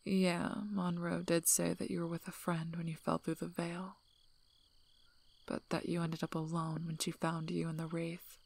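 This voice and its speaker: A woman voicing a gruff, guarded but protective werewolf alpha in a breathy, soft-spoken ASMR role-play, dry and teasing. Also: fairly low pitch, completely flat delivery, moderate pace. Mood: low, softening. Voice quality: breathy, husky, half-whispered.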